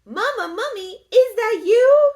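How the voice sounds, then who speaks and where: A woman voicing a small baby mummy boy in a storybook, calling in a high, pleading little voice. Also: lively intonation, takes it slowly, darkish tone, heavily acted.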